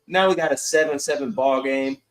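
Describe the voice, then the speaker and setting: A man's voice: mid-range voice, a little fast. A man giving casual sports commentary as a podcast host, blunt and opinionated. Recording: echoey room sound.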